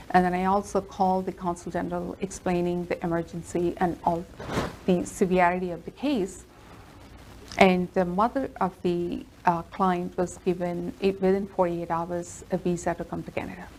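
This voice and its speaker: Female lawyer guest, Telugu native, low voice, steady earnest telling. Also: a little hiss or hum, low-quality recording. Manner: earnest, steady storytelling with fillers. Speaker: immigration lawyer. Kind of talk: interview answers.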